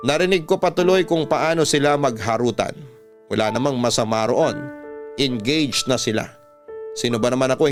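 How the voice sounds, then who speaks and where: A man with a Filipino accent delivering heartfelt, confessional scripted narration in the style of classic radio drama. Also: mid-range voice. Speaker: radio-style storytelling host.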